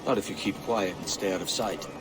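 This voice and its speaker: Man as roguish young pirate captain, blunt and rough, video game cutscene, loud music behind. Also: low voice, a little fast, close to an everyday voice. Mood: brisk. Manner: foul-mouthed, blunt, rough.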